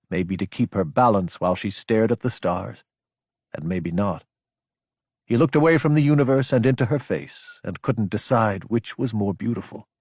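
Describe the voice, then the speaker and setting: Husky male audiobook narrator, dry sound. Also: mid-range voice, darkish tone, dry recording. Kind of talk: scripted dramatic fiction narration.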